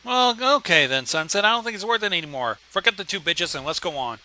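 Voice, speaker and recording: A man co-hosting a livestream, throwing out loud, exasperated, sweary commentary on a story being read. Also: high voice, expressive, fast, a little hiss or hum, poor audio. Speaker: livestream co-host.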